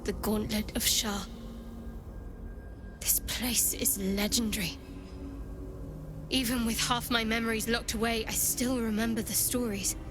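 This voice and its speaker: A woman playing a higher-voiced, devout young cleric. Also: steady tone, unhurried, long pauses, over music, lightly acted. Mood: reverent, determined. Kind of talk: dramatic video game acting.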